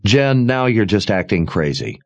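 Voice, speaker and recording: A devious young soldier, low-voiced, soothing and evasive as he talks, read by a man close to his own voice. He speaks slowly in a fantasy audiobook. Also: very animated, darkish tone, muffled recording. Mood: defensive. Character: devious young male soldier, smooth liar.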